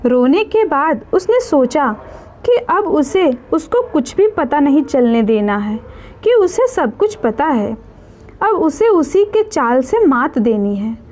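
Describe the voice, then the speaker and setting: A woman's voice: high voice, very animated, slow, darkish tone. A woman narrating a scripted dramatic story as a storyteller.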